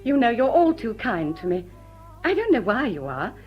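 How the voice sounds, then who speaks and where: An actress playing a gracious, charming society hostess in a period stage comedy, speaking politely and coaxingly with refined manners. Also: female voice, fairly high pitch, sing-song, normal speed, highs cut off, soft background music. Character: gracious, charming society widow hosting guests, self-deprecating.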